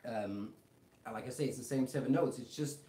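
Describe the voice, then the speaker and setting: A man with a mix of British and American accent, teaching guitar on a live stream and chatting with viewers in a relaxed, rambling way full of fillers. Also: medium pitch, normal speed. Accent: British English mixed with American. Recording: roomy echo, faint guitar behind.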